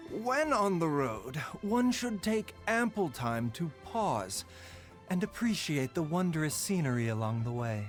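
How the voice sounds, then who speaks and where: A gentle, sly game doctor. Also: male voice, fairly high pitch, big pitch swings, faint music behind. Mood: courteous. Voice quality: soft, measured, sighs and chuckles. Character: gentle male doctor, calm, courteous, faintly sly. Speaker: game character voice lines. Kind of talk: game voice lines.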